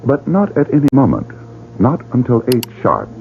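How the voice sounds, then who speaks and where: A man's voice: medium pitch, wildly swooping pitch, normal speed, creaky, darkish tone. A man playing a suave, sinister club director in an old radio drama, teasing and theatrical. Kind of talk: 1940s radio drama acting. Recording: phone-like old radio broadcast.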